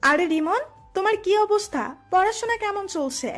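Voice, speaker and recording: A woman's voice: very high voice, animated, normal speed. A woman playing a friendly, encouraging female tutor in an audio love story. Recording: music bed under the voice.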